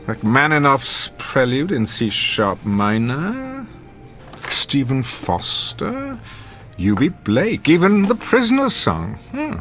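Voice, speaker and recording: Casting: an arrogant cynic and critic for radio drama, articulate, condescending, very animated pitch, light music underneath. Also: male voice, takes it slowly, exaggerated voice. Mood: droll, condescending. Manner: pompous, haughty, articulate.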